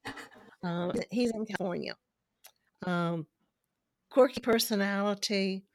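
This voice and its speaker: A woman's voice: mid-range voice, unhurried, no room sound. A woman guest on a podcast, chatty and eager to recommend people, who is losing her voice. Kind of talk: casual phone-style interview chat.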